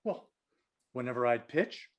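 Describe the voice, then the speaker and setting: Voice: medium pitch, animated, a little slow, lo-fi sound, lightly acted. An actor playing a self-deprecating, aggrieved male screenwriter, blunt and grumbling, in a comedy table read.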